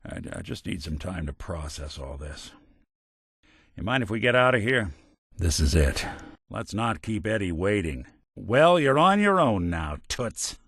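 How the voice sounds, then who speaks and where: A world-weary old android private eye from a video game. The low voice moves in lively swings of pitch, wry but kindly, with 1940s noir patter. The recording is close and dry. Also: male voice, measured pace, no echo, exaggerated voice. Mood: firm, weary. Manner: wry, dry, old-fashioned gumshoe slang. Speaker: detective.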